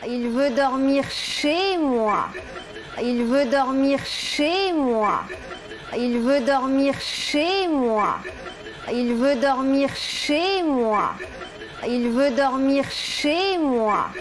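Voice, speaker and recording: An actress playing an animated woman in everyday film dialogue. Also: high-pitched, extremely slow, heavily acted. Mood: amused. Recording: film clip with crowd sound.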